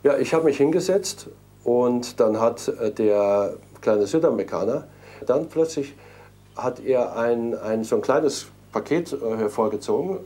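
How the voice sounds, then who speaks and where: A man's voice: medium pitch, unhurried, mellow. A man being interviewed, hesitant and full of fillers as he recounts events. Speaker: interviewee, prisoner. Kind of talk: recorded interview.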